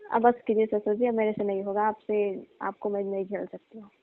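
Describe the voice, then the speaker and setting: A woman's voice: medium pitch, little pitch movement, fairly quick, no echo, close to an everyday voice. A woman playing a tired, complaining young daughter-in-law pleading in a staged, conversational phone call. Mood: weary, pleading. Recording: dull, band-limited phone call recording.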